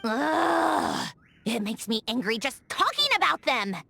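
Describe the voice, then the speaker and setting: An actress voicing a haughty, prickly young genius lady automaton in a video game, huffy and easily flustered, with a secretly soft heart. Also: high-pitched, very animated, takes it slowly, vocal fry, breathy edge, soft background music, heavily acted. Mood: exasperated, ranting. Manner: haughty, huffy, flustered when caught being kind. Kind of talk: scripted video game character voice acting.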